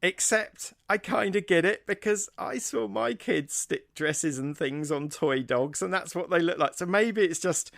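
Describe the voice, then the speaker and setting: A man co-hosting a podcast, casually giving opinions in a halting, word-repeating way. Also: high-pitched, creaky, a bit bright, dry recording, clean studio sound. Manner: hesitant, repeats words, opinionated. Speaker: podcast co-host. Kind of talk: casual film discussion chat.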